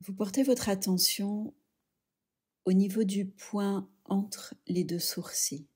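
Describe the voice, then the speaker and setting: A woman leading a guided yoga relaxation, speaking softly and soothingly in short phrases with pauses. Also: mid-range voice, fairly even intonation, breathy edge. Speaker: yoga nidra instructor.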